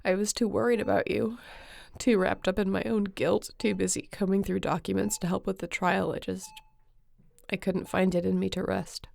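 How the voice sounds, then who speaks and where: An exhausted, devoted woman space adventurer, played by a voice actress in an intimate sci-fi audio drama. Her mid-pitched voice has a very heavy, gravelly creak. She speaks earnestly and tenderly, in halting, guilt-laden phrases. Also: flat delivery, a little mellow. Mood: weary, worried.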